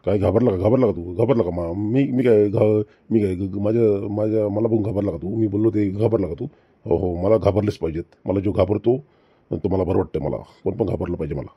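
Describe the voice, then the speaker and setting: A man's voice: lowish voice, fairly even intonation, brisk, husky, no room sound, dull audio. A man voicing a drunken, bullying male village strongman who talks in slurred, menacing repeats. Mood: swaggering threat. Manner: swaggering, menacing, slurred repetition.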